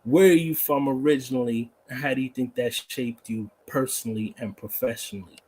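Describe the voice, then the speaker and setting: A man's voice: mid-range voice, steady tone, fairly quick, a little mellow, a little hiss or hum. A man hosting a podcast, asking questions in an informal, slangy, chatty way. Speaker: podcast host, interviewer. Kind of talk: casual interview chat.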